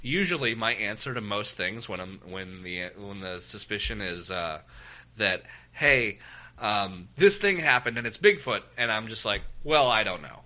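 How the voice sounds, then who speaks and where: Podcast guy rambling with lots of ums, super bright voice, kinda muffled audio. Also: on the low side, lively intonation, normal speed. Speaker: podcast co-host. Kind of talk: casual podcast chat.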